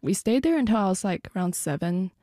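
A teenage girl being interviewed about her music, soft-spoken and giggly, with many "like" fillers. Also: medium pitch, animated, quick pace, dry recording, studio quality. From United States. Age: teens. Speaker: guest musician. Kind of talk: casual interview.

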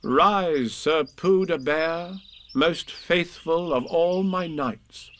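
A man's voice: fairly high pitch, drawn-out words, a bit bright, slight background noise, pitched above the performer's own voice. A man voicing an earnest, kindly, imaginative little boy in a storybook, speaking in a faltering way. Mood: solemn.

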